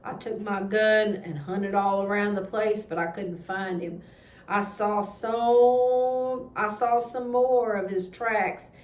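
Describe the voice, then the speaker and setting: A woman's voice: medium pitch, steady tone, slow pace, natural voice. A woman voicing a plainspoken farmer uncle in a storybook, folksy and matter-of-fact. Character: plainspoken farmer uncle recounting a panther hunt. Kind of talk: children's storybook read-aloud. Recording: echoey room with background noise.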